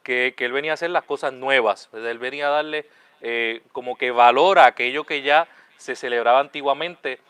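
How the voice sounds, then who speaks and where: A man's voice: medium pitch, brisk, fairly bright tone, smooth, dry recording. A man hosting a religious talk show, speaking in a friendly way and often adding "¿verdad?". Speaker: host, Capuchin friar.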